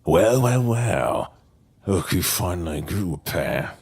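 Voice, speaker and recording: A man's voice: deep voice, expressive, takes it slowly, creaky, darkish tone, husky, heavily acted. An actor playing a possessed man, a cold villain who taunts and goads theatrically in an audio drama. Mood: coldly taunting. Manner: mocking, theatrical.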